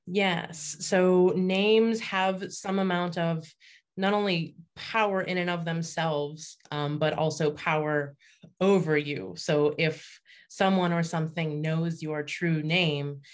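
A woman with a notably deep voice talks as a guest author in an interview. Her explanations are conversational yet articulate, laid out in thoughtful, measured phrases. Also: steady tone. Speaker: fiction writer, interview guest.

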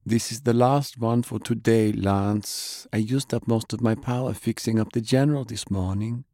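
A man voicing a dainty woman healer who gently admonishes, in an audiobook. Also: medium pitch, steady tone, normal speed, dry recording, clean studio sound, pitched above the performer's own voice, lightly acted. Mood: weary. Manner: mildly admonishing.

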